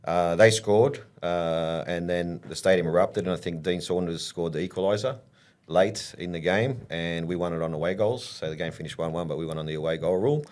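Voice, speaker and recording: A man, middle-aged, telling stories from his playing days in a relaxed interview, with many pauses and restarts. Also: deep voice. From Australia. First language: English. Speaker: football coach and former player, podcast guest.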